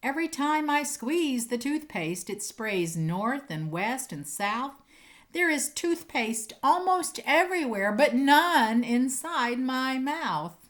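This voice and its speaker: A woman's voice: high voice, takes it slowly. A woman speaking like an encouraging teacher to children, reading poems expressively and chatting casually in a playful, enthusiastic way. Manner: playful, animated, emphatic on sound words.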